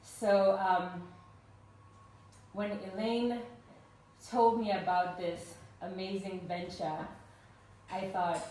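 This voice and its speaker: A woman's voice: mid-range voice, fairly even intonation, slow. Ugandan-born woman speaking in an echoey hall. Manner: earnest and warm, with frequent "um" fillers. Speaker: speaker at a fundraising event. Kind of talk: personal story told to a live audience. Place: event room with a live audience. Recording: roomy echo, voice from far off, faint noise.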